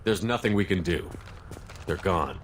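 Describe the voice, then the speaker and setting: An actor playing a steadfast, weary military space commander in a video game, measured and firm. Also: male voice, sits low, lively intonation. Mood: resigned. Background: loud music.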